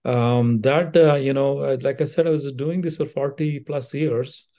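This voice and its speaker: An older man from India with an Indian accent, a surgeon answering interview questions in a measured way with frequent fillers and restarts. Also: medium pitch, fairly even intonation, warm tone. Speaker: cardiothoracic surgeon, guest.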